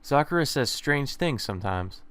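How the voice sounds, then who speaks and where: A man's voice: medium pitch, sing-song, moderate pace, fairly bright tone, clean tone. A man reading visual novel narration aloud as a let's play host, with casual asides.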